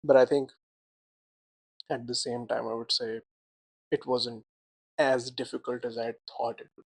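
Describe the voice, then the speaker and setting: A young man co-hosting a casual podcast chat, animated, laughing often and filling his talk with "like" and "right?". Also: mid-range voice, a little slow, slightly rough, no room sound. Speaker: podcast co-host.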